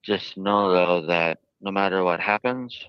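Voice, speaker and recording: A man giving interview answers in a halting, earnest way with stiffly formal phrasing. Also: deep voice, clear, resonant tone, dry recording. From United States. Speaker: jail inmate being interviewed. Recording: dull lo-fi archived interview audio.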